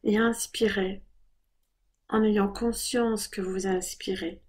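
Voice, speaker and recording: Slow, soft breathy woman guiding meditation. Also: medium pitch. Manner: calm, unhurried, gentle instruction. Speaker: meditation guide. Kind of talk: guided body scan meditation.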